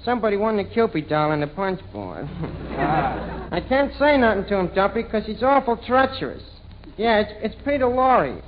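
A man voicing a bumbling, wisecracking lowbrow New tavern manager in a folksy, hesitant 1940s radio comedy routine. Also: sing-song, moderate pace. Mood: sheepish. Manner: hesitant, folksy, mangling his words. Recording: dull, hissy vintage radio recording.

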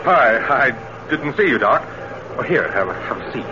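An American actor in 1940s radio style, playing an affable husband who grows uneasy and suspicious. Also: male voice, medium pitch, sing-song, moderate pace, background noise, close to an everyday voice. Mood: affable, eager. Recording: muffled, humming 1940s radio recording.

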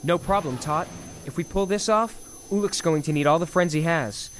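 A man's voice: fairly high pitch, normal speed, noisy background, lightly acted. An actor playing a practical, wary young male Jedi pilot in an audio drama. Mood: focused. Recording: loud sound effects behind voice.

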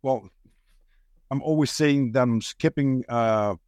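A man's voice: mid-range voice, a little mellow, clear, resonant tone, dry recording, clean studio sound. A man from the Netherlands with a Dutch accent, hosting a casual chat, often searching for words and restarting. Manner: halting, many restarts and fillers, searches for words. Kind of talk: casual conversation.

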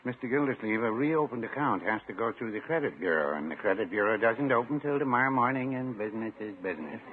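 A man's voice: fairly low pitch, expressive, fast talker, lightly acted. A man as Peavy, dry folksy druggist. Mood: slyly stubborn. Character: dry, unhurried small-town druggist, slyly stubborn older man. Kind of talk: 1950s radio comedy acting. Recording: very noisy, muffled 1950s radio recording.

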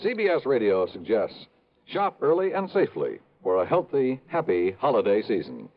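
Old time radio announcer guy, lively mid voice, kinda dull sound. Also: mid-range voice, moderate pace. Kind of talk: 1950s network radio announcing. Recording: muffled old radio broadcast recording.

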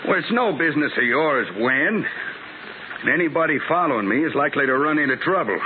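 An actor playing a surly, defiant gambler and outlaw in a 1950s radio western, curt and folksy. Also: male voice, a little high, animated, a little fast, natural voice. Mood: defiant, threatening. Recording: tinny, noisy old radio recording.

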